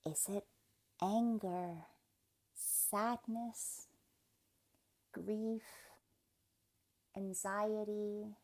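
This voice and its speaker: A woman leading a guided meditation in a gentle, soothing, deliberate manner, leaving pauses between phrases. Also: medium pitch, steady tone. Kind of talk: guided meditation, scripted narration.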